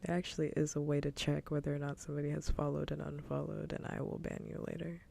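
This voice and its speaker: A woman chatting casually on an ASMR livestream in a soft, breathy whisper, teasing playfully and repeating her phrases, close to the microphone. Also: sits low, level tone, quick pace. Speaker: livestream host.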